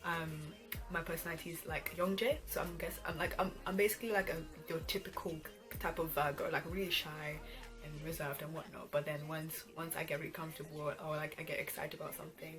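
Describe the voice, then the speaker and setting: Young woman from Ghana, lowish voice, casual chatty vlog, conversational, pop music clearly behind her. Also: steady tone, fairly quick. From Ghana, later England from age twelve. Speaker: YouTube vlog host. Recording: background music under voice.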